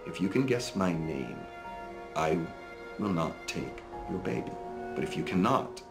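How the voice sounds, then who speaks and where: A man voicing a sly, menacing little imp from a fairy tale, deliberate and gloating as he bargains. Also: low voice, very animated, creaky, over music, heavily acted.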